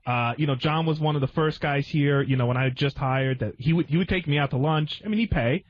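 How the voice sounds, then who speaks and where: A man speaks quickly in a fairly high voice during a casual radio discussion. He hedges and doubles back on his phrases. The sound is a bit dull and lo-fi. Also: slight background noise. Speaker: radio show staff member. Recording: dull, muffled lo-fi radio audio.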